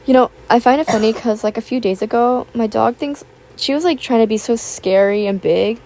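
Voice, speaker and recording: A young woman, raspy from just waking up, rambling chattily over a voiceover and recorded at home on a built-in tablet mic. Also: fairly high pitch, fairly quick, darkish tone. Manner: rambling, filler-heavy, chatty. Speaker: YouTuber. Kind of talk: casual chat voiceover. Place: home, with household noise. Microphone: built-in tablet microphone.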